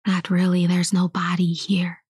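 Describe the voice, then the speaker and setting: A woman whispering a slow guided meditation and spiritual teaching in a soft, hushed voice. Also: lowish voice, monotone, slightly rough, dry recording, studio quality.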